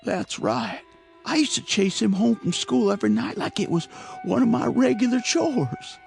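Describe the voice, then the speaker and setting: A whiny young American country bully in a radio play, high and gravelly. His rural speech is animated and full of wounded pride. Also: normal speed, faint music behind, heavily acted. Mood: aggrieved. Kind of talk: radio drama acting.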